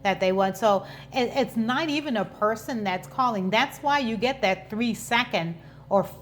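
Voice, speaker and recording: A woman's voice: a little high, normal speed, slight background noise. A woman in her fifties hosting an informal explainer vlog, talking conversationally and often repeating words as she goes. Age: 50s. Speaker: channel host and business owner.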